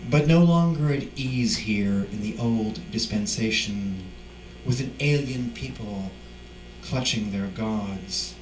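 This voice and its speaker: A man giving a live poetry reading, speaking deliberately in short emphatic phrases. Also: fairly low pitch. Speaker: poet reading at a live event. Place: indoor live reading venue. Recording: distant mic, echoey room, faint noise.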